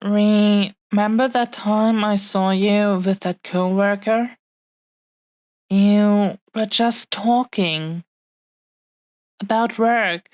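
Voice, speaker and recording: A woman playing a possessive, adoring dragon-blooded fiancée in an intimate audio roleplay, speaking in earnest, halting, heartfelt phrases. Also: medium pitch, fairly even intonation, a little mellow, no echo. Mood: remorseful.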